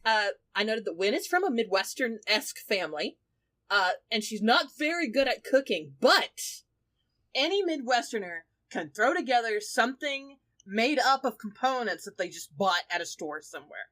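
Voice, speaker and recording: A woman's voice: fairly high pitch, sing-song, normal speed, a bit bright. A woman chatting casually as a podcast panelist, giving orderly, matter-of-fact answers. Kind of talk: casual chat.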